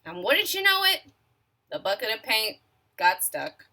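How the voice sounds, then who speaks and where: A high, bright female voice reads a children's story, swooping all over her range. She stops to quiz her young listeners and sometimes drops to a near whisper for suspense. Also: a little slow, a little hiss or hum. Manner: expressive, asks young listeners questions. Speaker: storyteller reading a picture book. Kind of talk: children's read-aloud.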